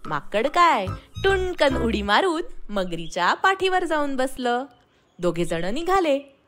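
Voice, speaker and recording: A woman telling a bedtime story to young children. Her voice is high and very lively in melody, and she takes her time over each phrase. Her manner is expressive throughout. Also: takes it slowly, faint music behind. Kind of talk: animated bedtime story narration.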